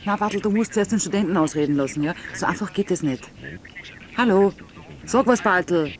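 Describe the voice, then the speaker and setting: A man's voice: sits high, brisk, lightly acted. A man playing a flustered radio studio staffer who repeats words in agitation. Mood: exasperated. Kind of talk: radio drama acting.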